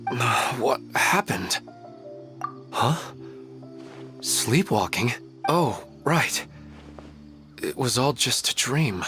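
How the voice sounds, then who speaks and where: A man playing a gentle, wistful husband in a video game, speaking in an earnest, hesitant, dreamy way. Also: medium pitch, very animated, very slow, pauses often. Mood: dazed. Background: music.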